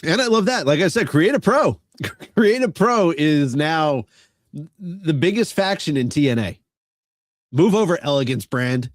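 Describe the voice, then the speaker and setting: A man's voice: on the high side, animated, a little slow, no echo, clean studio sound. Nasal man with a cold. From Long Island, New York. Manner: enthusiastic, emphatic. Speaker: podcast co-host. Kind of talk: casual wrestling podcast chat.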